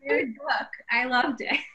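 Young woman, high-pitched voice, casual interviewer, online book club moderator. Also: takes it slowly, sharp tone, highs cut off. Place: indoor room, on a video call. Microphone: computer mic on a video call.

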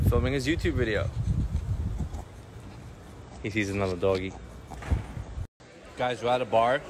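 A man in his thirties chatting casually as a family vlogger, to the camera and to a pet. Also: medium pitch. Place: mostly outdoors at a marina and patio, later garage and home. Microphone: camera held at arm's length. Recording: clear background noise, handheld camera outdoors.

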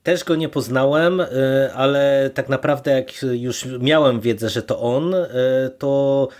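A man's voice: medium pitch, a little slow, darkish tone. A man co-hosting a podcast in casual chat, informal and opinionated. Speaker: podcast co-host. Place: virtual studio, remote podcast recording.

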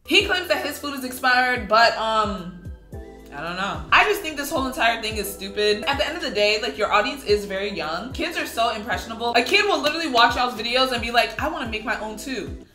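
Expressive young woman, bright and high. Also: a little fast, roomy sound, soft background music. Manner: expressive, slangy, incredulous. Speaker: YouTube commentary host. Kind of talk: casual reaction commentary.

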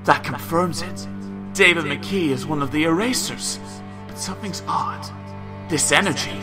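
A man's voice: fairly high pitch, big pitch swings, moderate pace, music in the background. A man playing a bitter, sarcastic British critic who hates children, delivering a scripted comedic review in a theatrical, scornful way. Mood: brooding. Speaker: cartoon reviewer.

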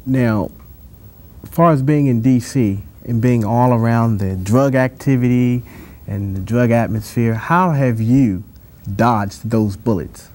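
A man hosting a TV interview show in a studio, asking questions in a measured, drawn-out way. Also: medium pitch, a little mellow. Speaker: TV talk show host. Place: TV studio set.

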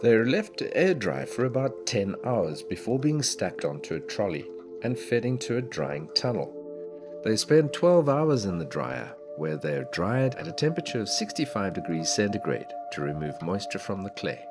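A man giving scripted documentary narration about a factory in a measured, explanatory delivery. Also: fairly low pitch, sing-song. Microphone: studio voice-over. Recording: voice-over with clear background music.

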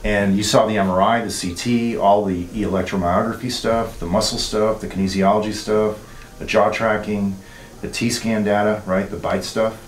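Man, middle-aged, dentist, low voice, leading questions, clinic room with echo, faint hiss. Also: flat delivery, moderate pace. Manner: leading, uses tag questions and jargon. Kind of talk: clinical interview with a patient. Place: dental clinic treatment room.

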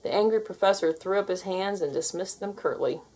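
A woman reading a children's story aloud in an expressive, scripted narrating style. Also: medium pitch, fairly quick, slightly rough, low-quality recording. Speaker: storybook reader.

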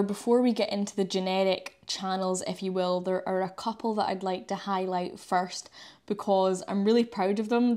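A woman's voice: mid-range voice, a little mellow. A young woman in her twenties with a Scottish accent, chatting upbeat about her online community, recorded on a lapel mic in a small room. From Scotland. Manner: upbeat, chatty, uses local words like wee and yous. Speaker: astrophotography channel host. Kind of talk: enthusiastic vlog and screen walkthrough. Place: small indoor room by a coat rack. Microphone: clip-on lapel mic.

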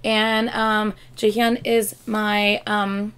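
A woman in her thirties, hoarse from losing her voice, chatting excitedly in a casual reaction vlog at her desk at home. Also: medium pitch, very slow. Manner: excitable, chatty, gushing with laughs and exclamations. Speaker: K-pop reaction YouTuber. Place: home room with shelves of albums and collectibles, indoors. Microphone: webcam framing at desk.

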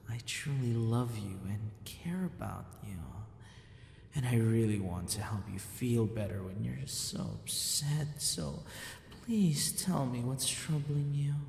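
A man playing a gentle, possessive yandere captor in an ASMR roleplay, speaking softly and often slipping into whispers. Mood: soothing, concerned. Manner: soft, breathy, often dropping into a whisper. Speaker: audio roleplay voice actor. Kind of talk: ASMR boyfriend roleplay acting. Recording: roomy reverb with faint rumbling noise.

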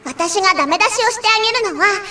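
A woman's voice: very high voice, lively intonation, racing, distant mic, heavy background noise. A woman playing a haughty, bratty teenage girl idol, bossy and smug, in an anime audio drama. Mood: smug, teasing.

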